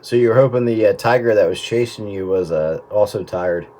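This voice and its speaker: A man's voice: on the low side, brisk, dark tone. A middle-aged man chatting casually over a radio link. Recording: noisy radio transmission.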